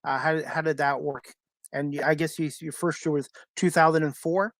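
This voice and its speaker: A man's voice: on the high side, fairly even intonation, fairly quick, no echo. A man hosting a talk show, asking questions in a casual, unscripted and somewhat hesitant, reassuring way. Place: remote video call, dry sound.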